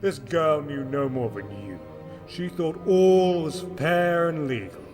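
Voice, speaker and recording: A man playing a bitter, defiant English gentleman in a period audio drama, sardonic and commanding in manner. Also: a little high, animated, slow. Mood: furious, bitter. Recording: long hall reverb, music underneath.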